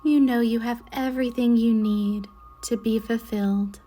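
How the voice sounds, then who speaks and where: A woman leading a guided meditation in a soothing, measured way, with soft breathy endings to her phrases. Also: a little high, steady tone, soft background music. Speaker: guided meditation leader, Reiki instructor. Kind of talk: slow guided meditation narration.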